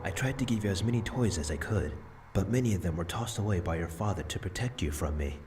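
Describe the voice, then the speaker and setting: An actor playing a gentle, regretful grandfather's spirit in a visual novel game, earnest and tender, with music behind. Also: low-pitched, expressive. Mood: wistful. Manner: earnest, tender, measured explaining.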